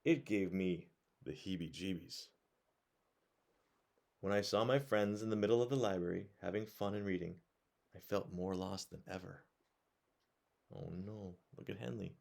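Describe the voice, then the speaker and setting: A man reading a storybook aloud to children in an expressive way, pausing to put questions to his young listeners. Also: low-pitched, gravelly. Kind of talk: read-aloud storytelling to children, with conversational asides.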